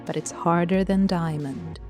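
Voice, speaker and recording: A woman with a fairly low voice narrates a scripted audio drama. Her storytelling is wry, and music plays softly behind her. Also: steady tone, measured pace.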